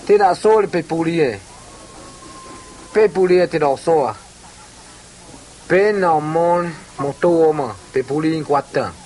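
A community leader interviewed for a documentary, speaking slowly and deliberately. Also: male voice, fairly high pitch, a little mellow, a little hiss or hum, low-quality recording.

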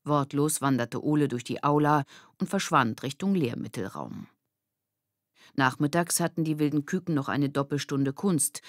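A woman narrating a children's audiobook in a clear, measured, expressive storybook style. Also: low-pitched, no echo, studio quality.

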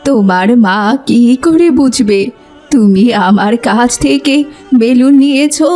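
A woman playing a sly old granny witch in a cartoon, coaxing children in a drawn-out, sugary way. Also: a little high, animated, mellow, faint music behind. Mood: sly persuading. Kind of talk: cartoon voice acting.

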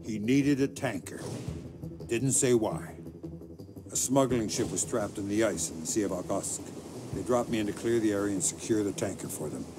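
A weary, hard-bitten covert operative from a video game , acted by a man. His voice sits low and he reports in terse, sardonic, matter-of-fact sentences. Loud music plays underneath. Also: moderate pace, natural voice. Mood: terse, dry. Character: middle-aged male covert agent, weary, hard and sardonic. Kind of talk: video game cutscene acting, terse recounting. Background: loud dramatic music.

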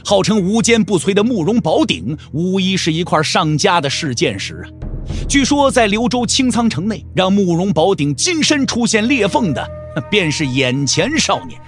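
A man's voice: sits high, big pitch swings, moderate pace, soft background music. A man narrating a martial-arts audio drama in a dramatic, emphatic storytelling style.

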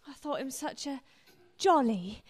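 A woman's voice: high voice, animated, measured pace. A woman playing a dreamy, vain society wife in a radio play. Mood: airy.

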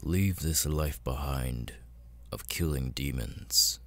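A man voicing a cold, arrogant immortal demon lord in an ASMR roleplay, speaking with deliberate, condescending menace. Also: a bit bright, heavily acted. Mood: cold threatening. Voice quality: very deep, gravelly, husky. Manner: slow, deliberate, condescending, with long pauses.

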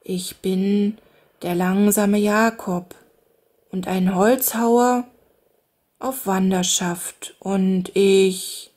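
A plodding young woodcutter from a storybook who drags out every word, as a woman puts him on. The voice is slightly breathy and unhurried. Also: fairly even intonation, darkish tone. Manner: slow, lower, hesitant drawl.

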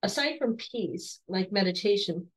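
A woman in her forties, slightly hoarse, gently interviewing her son at home on a video call with soft, encouraging questions and restarts. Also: mid-range voice, lively intonation, measured pace, low-quality recording. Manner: soft, encouraging, with frequent restarts and light laughs. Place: home room with bookshelves.

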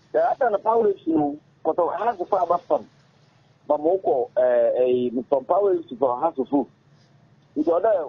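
A middle-aged Ghanaian man talks at a studio mic in a raspy, gravelly voice that swings widely up and down. He speaks haltingly, repeats himself and mixes languages as he goes. The sound is thin and boxy, as if heard over a phone line. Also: sits high. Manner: halting, repetitive, switches languages mid-sentence. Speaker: interview guest, former footballer. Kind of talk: casual interview talk. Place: radio studio. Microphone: studio microphone on arm. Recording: muffled phone-like audio.

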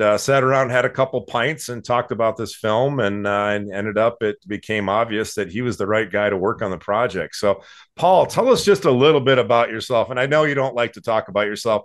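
A man's voice: on the low side, fairly bright tone. A middle-aged man hosting an interview over a video call from his home studio, talking casually with fillers. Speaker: host, documentary director.

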